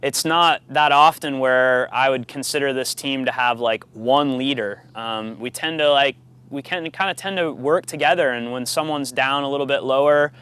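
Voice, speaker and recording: Guy in his 30s, smooth clear voice, thoughtful, repeats words while chatting in an interview. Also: mid-range voice, moderate pace, fairly bright tone. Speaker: expedition paddler being interviewed. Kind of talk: casual interview answers.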